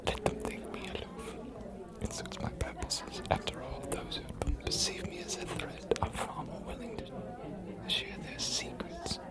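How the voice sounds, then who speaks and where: A man's voice: high-pitched, big pitch swings. A man playing an ancient, aloof male vampire scholar in an ASMR roleplay, speaking in a formal, musing, courteous whisper. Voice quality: breathy whisper. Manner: measured, formal, musing, hesitant pauses.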